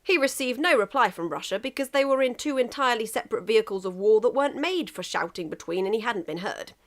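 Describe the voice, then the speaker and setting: Animated woman reading a comic story. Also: a little high, fast talker. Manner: expressive, emphatic. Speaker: fan fiction reader.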